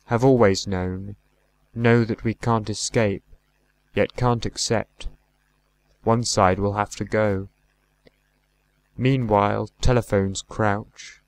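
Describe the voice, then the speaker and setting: A man reading poetry aloud in a measured, deliberate way, phrase by phrase. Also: fairly low pitch. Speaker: poetry reader. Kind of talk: poetry recitation.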